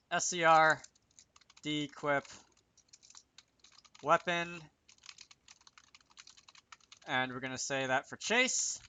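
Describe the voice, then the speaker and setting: Bright-voiced man thinking aloud while coding. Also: on the high side. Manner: muttering to self, self-correcting. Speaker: host of a game programming tutorial. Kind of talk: casual screen-recorded coding tutorial, thinking aloud.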